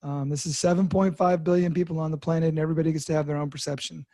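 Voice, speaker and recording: A man's voice: on the high side, little pitch movement, very fast, dark tone, dry recording, studio quality. A man in his fifties telling his own recovery story in a candid monologue, with many fillers and restarts. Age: 50s. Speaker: podcast host sharing recovery story.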